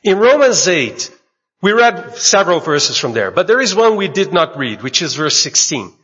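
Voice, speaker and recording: A man's voice: high-pitched, sing-song, fairly bright tone, slightly rough. Man preaching in a hall. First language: Portuguese. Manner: measured, speaks in short phrases and pauses for the interpreter. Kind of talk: sermon with consecutive interpretation. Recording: dull recording in a large echoing hall.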